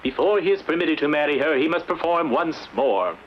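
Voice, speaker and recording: Man playing a blustering high-pitched greedy king. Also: lively intonation, a little fast, dull audio, slight background noise, close to an everyday voice. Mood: stalling, pompous. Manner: flustered, pompous. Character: blustering, greedy, evasive old fairy-tale king and father. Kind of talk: theatrical children's fairy-tale acting.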